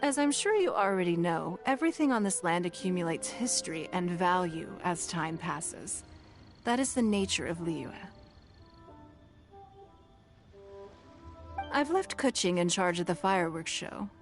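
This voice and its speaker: An actress playing a gracious, dignified noblewoman leader in a video game, speaking in a formal, measured manner. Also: fairly low pitch, expressive. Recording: game music behind the voice.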